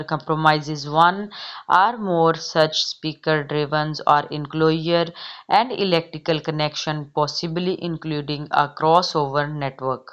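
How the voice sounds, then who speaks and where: Woman w a low voice reading an article out loud, keeps tripping on words, dull lofi audio. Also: smooth. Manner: halting, stumbling over words and repeating them. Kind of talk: reading an encyclopedia article aloud. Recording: dull, lo-fi recording.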